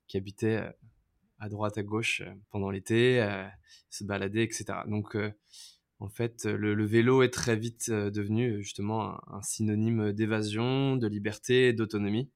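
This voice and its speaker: A man in his thirties from France, a podcast guest telling his life story in a casual, hesitant way with many fillers and restarts. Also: lowish voice. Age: 30s.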